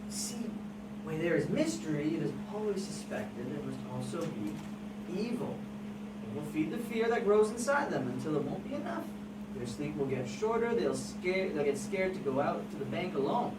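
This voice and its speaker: A persuasive, scheming news photographer as an amateur actor reads the part at a table read. The delivery is emphatic and intense, swinging widely in pitch. It is heard from far off in an echoey room. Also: sing-song, moderate pace, natural voice. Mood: sly, menacing. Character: scheming, persuasive crime photographer who stages fake scenes. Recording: distant, echoey, noisy room recording.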